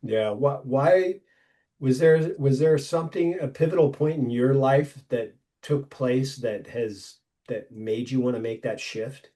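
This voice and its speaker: A man's voice: mid-range voice, measured pace, dark tone. A man in his fifties hosting a podcast, asking questions in a friendly, conversational way. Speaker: podcast host. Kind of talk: casual interview chat.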